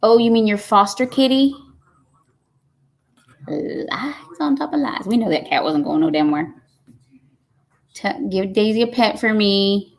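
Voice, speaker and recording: A woman's voice: mid-range voice, measured pace, long pauses. Chatty fifty-year-old woman. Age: 50s. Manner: chatty and folksy, says y'all. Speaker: live stream host. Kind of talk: casual chat reading live comments.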